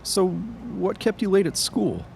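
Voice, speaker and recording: An actor playing a friendly, earnest, folksy high school boy in a period audio drama. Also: animated, slow pace, creaky, a little mellow, slight background noise, heavily acted. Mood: eager. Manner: eager, folksy.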